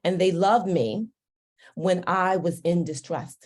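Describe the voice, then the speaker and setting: A woman whose first language is Yoruba, giving a slow, deliberate and uplifting formal speech at an awards ceremony. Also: lowish voice, darkish tone. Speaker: ceremony speaker.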